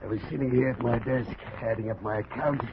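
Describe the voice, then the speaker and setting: Guy playing gravelly plantation boss in an old radio play, agitated and vengeful, muffled hissy sound. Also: medium pitch, fairly even intonation, fast talker, natural voice. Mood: shaken. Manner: melodramatic, agitated. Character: embattled, vengeful plantation owner, stubborn and fearful. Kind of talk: 1940s radio drama acting. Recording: dull, noisy old radio recording.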